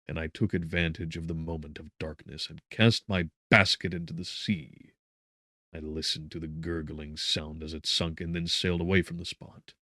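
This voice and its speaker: Very deep-voiced man narrating an audiobook. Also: no room sound, clean studio sound. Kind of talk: scripted literary narration of a gothic horror novel.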